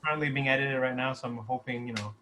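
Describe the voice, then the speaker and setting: A man's voice: medium pitch, steady tone, fast talker, crowd noise. A man talking casually and explaining things as a writer and producer on a video call. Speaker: writer and producer of a short film.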